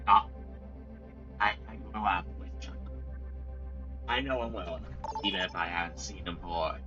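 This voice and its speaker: A man's voice: low-pitched, normal speed, soft background music. A man voicing a scrappy, talkative video game hero who is loyal to friends. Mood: reminiscing. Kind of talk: rehearsing video game character voice lines. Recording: muffled, with loud game audio behind.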